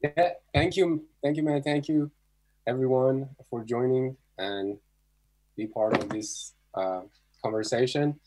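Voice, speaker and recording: A middle-aged man from Iran speaks with an Iranian accent in a medium-pitched voice. He tells his story casually as an interview guest, with halting, non-native English phrasing typical of a Persian speaker. He is talking over a video call. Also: darkish tone, low-quality recording. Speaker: guest photographer.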